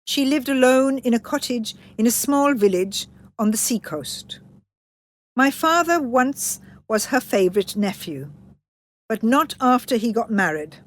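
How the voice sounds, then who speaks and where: A woman's voice: on the high side, animated, a little slow. A woman narrating a children's story in a scripted read-aloud style.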